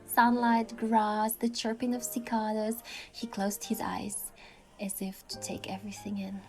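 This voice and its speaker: A woman reading scripted first-person story narration in an expressive way, acting out the lines as a heroine. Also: medium pitch, a little slow, music in the background. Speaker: narrator voicing a game's heroine.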